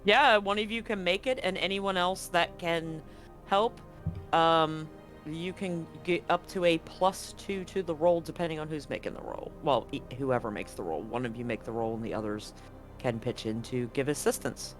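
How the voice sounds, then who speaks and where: A man's voice: fairly high pitch, moderate pace, fairly bright tone, soft background music. A rambling, self-correcting male game master. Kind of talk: narrating a tabletop game.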